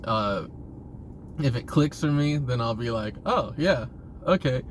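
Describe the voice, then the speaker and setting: Casual, sweary man over clear background noise. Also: medium pitch, lively intonation, measured pace. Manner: informal, profane, frequently chuckling. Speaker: vlogger talking about himself. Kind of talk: casual rambling confessional monologue. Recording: clear vehicle-like ambient noise.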